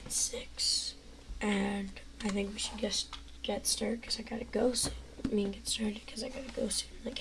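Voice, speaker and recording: Teenage girl, hoarse lost voice, whispery, stumbling read-aloud, flat pitch. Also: noisy background. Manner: whispery, stumbling over words, restarting lines. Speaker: streamer reading a novel aloud. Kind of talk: reading a book aloud live.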